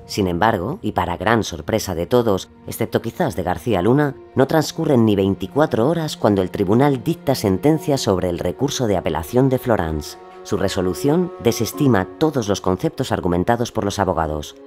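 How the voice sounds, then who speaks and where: A man's voice: on the low side, lively intonation, normal speed, hardly any pauses, a little mellow, soft background music. A man delivering scripted narration for a documentary audio drama.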